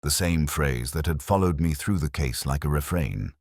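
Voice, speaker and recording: A man playing a melancholy, reflective police detective, narrating his case in a measured, literary, brooding manner for a mystery audio drama. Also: rumbling low, darkish tone, dry recording, clean studio sound. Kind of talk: scripted first-person noir-like mystery narration.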